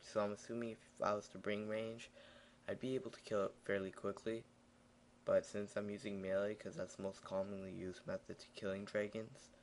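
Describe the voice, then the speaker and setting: A young man recording a casual gameplay voiceover, raspy and tired in the morning, rambling with many fillers. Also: on the low side, a little mellow, slight background noise. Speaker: gaming video narrator.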